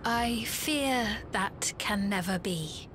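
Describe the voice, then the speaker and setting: An actress playing a gentle, sorrowful young queen in a video game, speaking softly and formally with regret. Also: mid-range voice, lively intonation, slow pace, noisy background. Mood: resigned sorrow. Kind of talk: dramatic video game acting.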